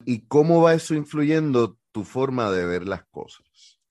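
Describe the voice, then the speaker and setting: A man's voice: mid-range voice, lively intonation, a little slow, darkish tone, no room sound. A man, hosting an interview, who frames long, thoughtful questions. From Puerto Rico. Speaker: podcast host.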